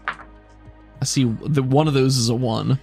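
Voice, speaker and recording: A man's voice: medium pitch, slow, gravelly, darkish tone, soft background music. A man narrating an improvised tabletop game as game master, in conversational, hesitant phrasing.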